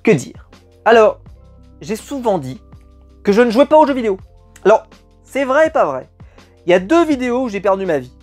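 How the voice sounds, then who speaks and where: Guy doing an unboxing vlog, excited and rambly, lots of ums, soft music behind. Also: high-pitched, normal speed, pauses often. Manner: chatty and enthusiastic, lots of hesitant fillers. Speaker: YouTube collector channel host. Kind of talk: casual unboxing chat to camera.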